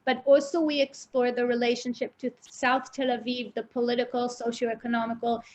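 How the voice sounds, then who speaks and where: A woman's voice: a little high, level tone, moderate pace, a little mellow. A woman from Israel with a mixed British and American English accent, explaining things informally and articulately as a guest art guide over a dull online connection. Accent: British-American English mix. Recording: dull lo-fi online call audio.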